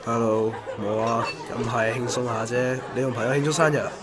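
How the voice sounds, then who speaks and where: A man's voice: medium pitch, steady tone, brisk, music in the background, lightly acted. A man with a Hong Kong Cantonese accent playing a weary, mild-tempered businessman in a radio drama. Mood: affable.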